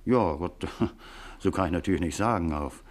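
An actor playing an eager, hesitant taxi driver witness in a 1950s radio crime drama, chatty and hemming. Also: male voice, low-pitched, close to an everyday voice. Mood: halting, recalling. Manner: halting, hemming, chatty, small nervous chuckles.

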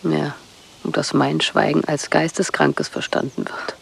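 A woman playing a bitter, hunted wife from a psychiatric clinic in a radio play, terse and intense, her voice husky and breathy, often near a whisper. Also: low-pitched, flat delivery, measured pace, exaggerated voice. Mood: bitter, weary.

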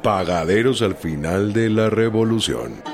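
An actor playing a gruff but courtly Mexican revolutionary chief in a radio drama, speaking with colloquial authority. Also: male voice, lowish voice, very animated, a little slow. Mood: businesslike. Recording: radio drama with music and crowd behind.

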